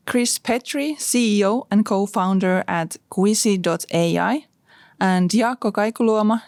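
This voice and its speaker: A woman's voice: mid-range voice, darkish tone, no room sound, studio quality. A woman hosting a podcast and introducing her guests. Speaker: podcast host.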